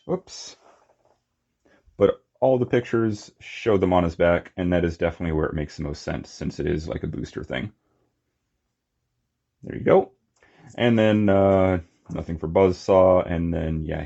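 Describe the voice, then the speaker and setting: A man's voice: low voice, unhurried, vocal fry, pauses often, mellow, muffled recording. A man giving a casual, unscripted hands-on demonstration as a hobby reviewer, with informal muttered asides. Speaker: toy collector and reviewer.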